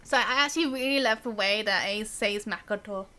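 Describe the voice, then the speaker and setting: A woman's voice: medium pitch, a little fast, pushed and bright. A woman giving casual live gameplay commentary as a streamer, musing aloud in a chatty, giggly manner.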